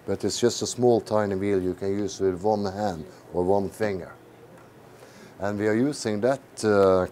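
A man, a ship's captain, calmly explaining his job and the steering on the bridge. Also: on the low side, a little hiss or hum. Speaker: ship captain. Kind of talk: relaxed explanation.

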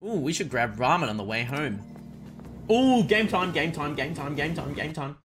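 A young adult man chatting excitedly on a gaming livestream, tossing out short repeated catchphrases. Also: a little high, brisk, music in the background. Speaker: game streamer.

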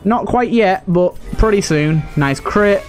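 A man's voice: sits high, takes it slowly, faint music behind. A man giving casual let's play commentary, pleading with and exclaiming at the game characters as he talks. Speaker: gaming video host and player.

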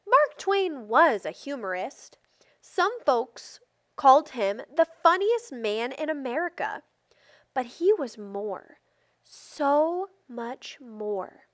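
A woman reading a children's picture book aloud expressively, with deliberate phrasing and emphatic stresses. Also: high voice, very animated, a bit bright, dry recording, clean studio sound. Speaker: storybook reader.

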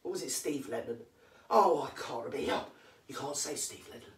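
A vlogger with a London accent, rattling off comic celebrity gossip, answering their own questions and dropping into hushed asides. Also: lively intonation, brisk. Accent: London English. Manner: drops to hushed asides, jumps to emphatic high yeses. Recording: echoey room, voice far from mic.

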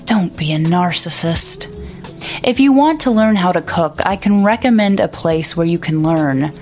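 A woman voicing an irritable, scornful young man who scolds his sister, pitched a little above her narration, with faint music behind. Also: lively intonation, a little slow, a little mellow. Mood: scheming.

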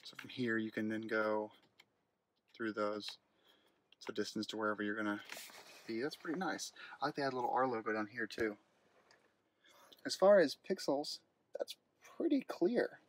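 A man's voice: fairly low pitch, normal speed, pauses often. Man, casual vlogger, thinking aloud, handheld phone recording, faint background noise. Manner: chatty, thinking aloud with fillers and restarts. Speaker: car vlogger. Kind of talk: casual walkaround commentary. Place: outdoors at a car dealership lot, then inside a car. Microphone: handheld phone camera.

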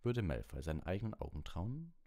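A man's voice: low-pitched, big pitch swings, brisk, mellow. A man narrating an audiobook in a storybook reading style.

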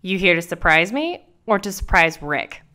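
A woman's voice: lowish voice, sing-song, normal speed, sharp tone. A woman playing a stern, fed-up divorced mother in a cartoon audio drama, curt and firm. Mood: annoyed.

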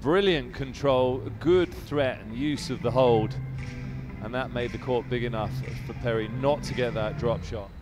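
A man giving play-by-play commentary on a squash match, brisk and lifting with excitement on big rallies. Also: medium pitch, music in the background. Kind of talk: sports commentary. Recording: commentary over loud arena crowd noise.

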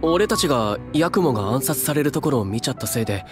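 An earnest, mild young man, hero of an anime game, played by a male actor, in a medium male pitch with music clearly behind him. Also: lively intonation, quick pace, lightly acted. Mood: pensive. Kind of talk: Japanese anime game voice acting.

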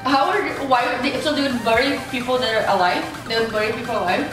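A woman's voice: on the high side, quick pace. A woman in her twenties chatting casually as a guest while eating. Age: 20s. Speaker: guest friend in a vlog. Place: indoor room at a table. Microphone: camera a few feet away. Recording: very echoey room, distant mic, music behind.

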